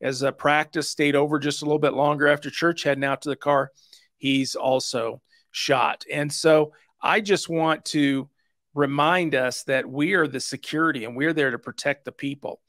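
A man's voice: fairly high pitch, steady tone, moderate pace, fairly bright tone, dry recording. A man in his fifties giving an earnest, conversational instructional talk as a host, stressing his points into a close microphone. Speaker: church security instructor and host. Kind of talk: explanatory video lesson, conversational. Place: small home study, indoors. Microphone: close microphone on a boom arm.

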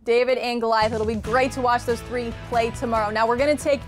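A woman's voice: on the high side, fairly even intonation, brisk, music in the background. A young woman anchoring a local TV sports show, reading scripted narration in a studio. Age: young adult.

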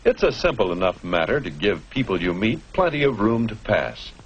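A man's voice: on the low side, very animated, moderate pace, slightly rough, lo-fi sound. A man narrating a 1950s educational film in an instructive manner, putting rhetorical questions to the viewer. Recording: noisy old 1950s film soundtrack.

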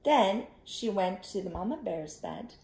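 A middle-aged woman telling an interactive story to young children, expressive and instructive, inviting them to join in, recorded in a living room. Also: medium pitch, measured pace, echoey room. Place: small living room, indoors. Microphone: camera a short distance away.

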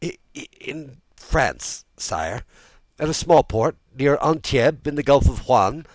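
A man's voice: medium pitch, normal speed, darkish tone, dry recording, pitched above the performer's own voice, close to an everyday voice. Man acting a fearful, pleading, stammering minister. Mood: distressed, pleading. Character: fearful, despairing police minister, humbly addressing his king. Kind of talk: audiobook character dialogue.

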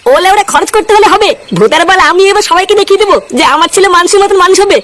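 Woman voicing a shrill, blustering cartoon dad. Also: very fast, a bit bright. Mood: determined. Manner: blustering, foul-mouthed. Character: crude, hot-tempered, boastful father of a cartoon schoolboy. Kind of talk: comedy cartoon dialogue.

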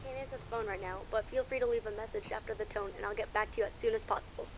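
A woman playing a young phone owner who recites a brisk, polite voicemail greeting. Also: mid-range voice, fairly even intonation, background noise, lightly acted.

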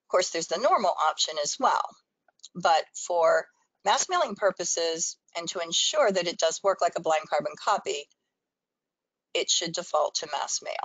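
A woman's voice: lowish voice, lively intonation, normal speed, a bit bright, no echo, poor audio. A woman presenting a training webinar, explaining things step by step in an instructional screen-share style.

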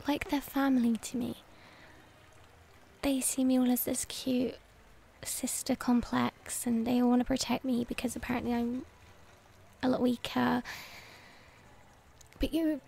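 A woman playing a shy, devoted young werewolf girlfriend in an intimate ASMR roleplay, speaking hesitantly and tenderly in a breathy voice that often drops toward a whisper. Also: high voice, warm tone, exaggerated voice. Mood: confiding, admiring. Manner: hesitant, tender, halting delivery.

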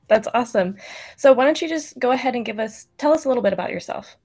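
A woman's voice: fairly high pitch, expressive, fast, darkish tone. A woman in her twenties co-hosting an interview over a video call in a casual, chatty style. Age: 20s. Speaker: co-host. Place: indoor room, video call.

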